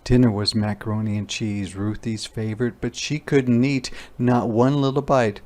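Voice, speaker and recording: A man doing an interactive picture-book read-aloud for young children, pausing to ask questions and explain words simply. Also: mid-range voice, fairly even intonation, darkish tone. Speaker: teacher reading aloud.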